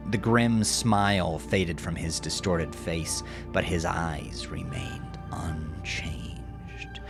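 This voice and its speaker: Male narrator for horror audio drama: low, unhurried, dramatic, music underneath. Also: vocal fry.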